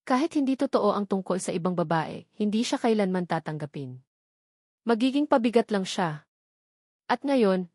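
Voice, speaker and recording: A woman reads a scripted romance drama in a measured storytelling voice. Her pitch sits in the middle range for a woman. The sound is dry and close, with no room around it. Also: darkish tone, no echo. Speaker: story narrator.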